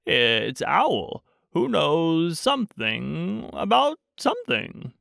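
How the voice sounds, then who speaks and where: A man's voice: fairly high pitch, wildly swooping pitch, takes it slowly, vocal fry, a bit bright, no room sound, clean studio sound, voice pushed up, full character voice. A man voicing a kindly, simple storybook bear who speaks in an earnest, plain way. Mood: pondering, sure.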